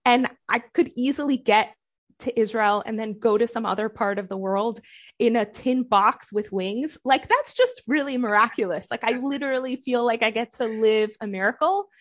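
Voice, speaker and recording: A woman with an American accent who was born in Colombia, a guest author speaking earnestly and warmly, often saying "like.". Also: a little high, lively intonation, normal speed. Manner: earnest, heartfelt, uses "like" often. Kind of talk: casual interview chat.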